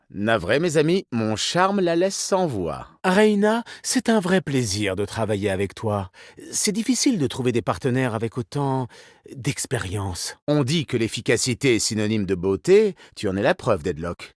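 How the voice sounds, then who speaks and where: An actor playing a suave, vain, elegant male weapons designer in a video game, with a theatrical, smug and courteous, smoothly ironic manner. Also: medium pitch, no room sound, studio quality, exaggerated voice. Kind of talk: video game character voice lines.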